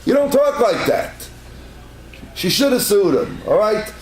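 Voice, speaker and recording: A man's voice: high voice, sing-song, a little slow, echoey room, poor audio. A man, a rabbi, giving an informal class to a congregation in a synagogue, telling stories in a chatty way and repeating words for emphasis. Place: synagogue room.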